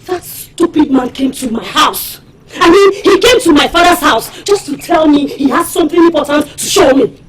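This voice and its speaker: A woman's voice: high voice, normal speed, slight background noise, close to an everyday voice, poor audio. An actress playing an outraged, proud young village woman with a Nigerian accent, scolding in a film drama. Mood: exasperated. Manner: indignant, scolding, loud emphatic outbursts.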